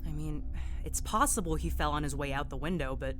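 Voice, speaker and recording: A woman's voice: low voice, a little fast, a bit bright, faint music behind. A woman playing a determined, troubled private investigator and former cop in an audio drama, speaking in an insistent, defensive, colloquial way. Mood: puzzled, probing.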